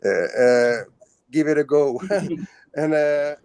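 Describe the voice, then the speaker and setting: A man's voice: a little high, slow pace, a little mellow, smooth, no room sound. A man from Sweden, a band's singer, answering interview questions casually, with many fillers and restarts. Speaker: band vocalist.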